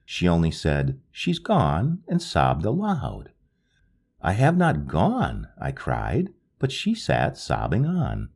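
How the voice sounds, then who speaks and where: A man reading a literary story aloud in a slow, solemn, dreamlike way. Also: wildly swooping pitch, creaky, darkish tone, breathy edge. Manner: slow, solemn, dreamlike delivery with wide swings in pitch. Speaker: audiobook narrator.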